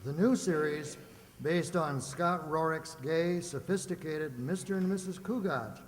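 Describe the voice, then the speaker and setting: A man's voice: fairly high pitch, a little slow. A man narrating a scripted old-time radio comedy as a theatrical announcer. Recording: echoey hall with faint noise.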